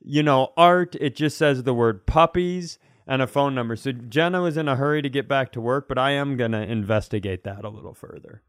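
A man's voice: mid-range voice, fairly quick, hardly any pauses, no echo. Wry man bantering in a dry-sounding recording. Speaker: comedy podcast co-host. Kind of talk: casual comedic banter.

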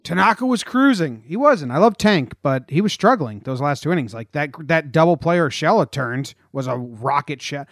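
An American man with a fairly high voice, recorded close and dry. He hosts a sports podcast in a casual, blunt and emphatic way. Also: lively intonation, normal speed, few pauses, fairly bright tone, no echo. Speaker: podcast host.

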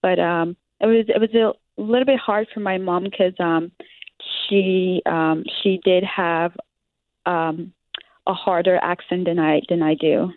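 A woman's voice: fairly low pitch, fairly even intonation, unhurried, no echo. A young woman from Liberia with a Liberian English accent, answering interview questions hesitantly with many fillers and laughs. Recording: dry, phone-like sound.

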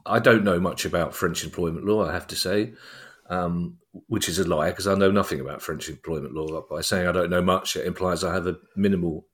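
A man's voice: low-pitched, steady tone, a little fast. A man co-hosting a casual football podcast, with a wry, self-deprecating sense of humour. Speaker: podcast co-host.

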